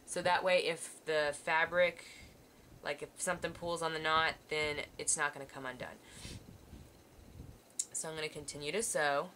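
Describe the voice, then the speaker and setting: A woman teacher walks students through sewing a seam while she works at a table under an overhead camera. Her voice is bright and sits a little low, and her manner stays patient and reassuring. A faint hiss runs under the recording. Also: fairly even intonation, moderate pace, long pauses. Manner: patient, reassuring, talks while working hands-on. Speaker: art teacher. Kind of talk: step-by-step sewing demonstration.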